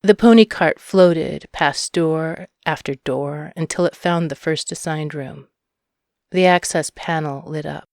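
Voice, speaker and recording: A woman's voice: deep voice, measured pace, darkish tone, no room sound, clean studio sound. A woman narrating a short story from a script. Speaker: audiobook narrator.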